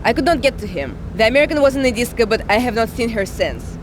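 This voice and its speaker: An actor playing an agitated Russian operative in a video game cutscene, speaking in urgent, stilted phrases. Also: male voice, extremely high pitch, sing-song, quick pace, noisy background.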